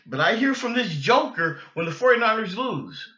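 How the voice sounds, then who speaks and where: Male sports podcast host, high-pitched and exasperated, halting unscripted delivery, roomy echo. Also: sing-song, pushed and bright. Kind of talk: unscripted sports fan rant. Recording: dull lo-fi sound, echoey room.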